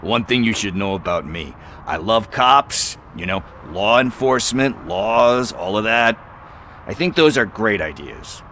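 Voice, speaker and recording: An actor playing a chatty, evasive street hustler who talks in wheedling casual slang. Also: male voice, fairly low pitch, animated, measured pace, bright, forceful tone, a little hiss or hum. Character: shifty street hustler, middle-aged man, chatty and evasive.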